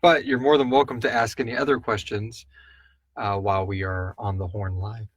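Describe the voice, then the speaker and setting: A man's voice: lowish voice, steady tone, normal speed, husky, dry recording. Casual male live-stream host, closely recorded. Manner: informal, rambling, chummy with viewers. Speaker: podcast host answering listener questions.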